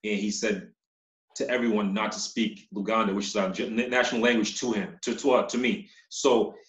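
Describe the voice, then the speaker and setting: A man from Uganda who moved to the US as a child, talking casually as an interview guest, halting and repeating words. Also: on the low side. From Uganda, moved to Massachusetts as a child. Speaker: interview guest, company CEO. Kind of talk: casual conversational storytelling. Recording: echoey room, dull muffled sound.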